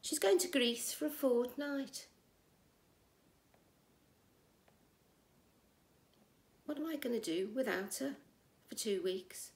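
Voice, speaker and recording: A woman's voice: a little high, expressive, normal speed, a little mellow, a little hiss or hum. A woman acting a jaded middle-aged housewife in a wry, confiding, gossipy comic monologue. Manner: wry, confiding, gossipy, with rhetorical tag questions. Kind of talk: conversational comic stage monologue. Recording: echoey room.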